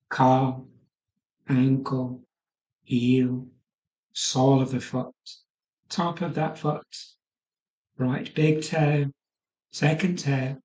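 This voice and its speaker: A man guiding a yoga nidra meditation in a soothing, unhurried manner, leaving pauses between short phrases. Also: fairly high pitch, a little mellow, roomy sound, low-quality recording. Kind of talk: guided meditation.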